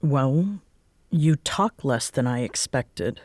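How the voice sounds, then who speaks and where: A woman acting a guarded, grudgingly warm and easily flustered young tsundere roommate in a roleplay audio drama, with halting, wry delivery. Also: very deep voice, expressive, no room sound, full character voice. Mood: grudgingly warm, teasing.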